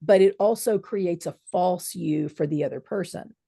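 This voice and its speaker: Woman, very low voice, measured, explanatory, dry close sound. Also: vocal fry, warm tone, breathy edge, no room sound. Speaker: podcast co-host. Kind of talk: casual podcast chat.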